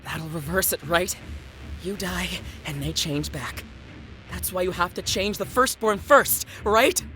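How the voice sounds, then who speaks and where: A woman's voice: fairly low pitch, a little slow, fairly bright tone, soft background music. A woman playing a bitter, defiant eldest daughter in an audio drama, blunt, sardonic and combative. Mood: desperate, bitter. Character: defiant, bitter firstborn daughter fighting for her siblings.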